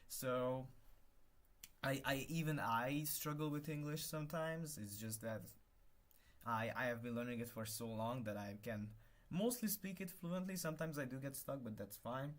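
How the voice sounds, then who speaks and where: A man's voice: medium pitch, normal speed. A young man with a Hungarian accent, a native Hungarian speaker, casually explaining his constructed language and thinking aloud with hesitant self-corrections. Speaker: conlang creator. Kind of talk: casual screen-share explanation.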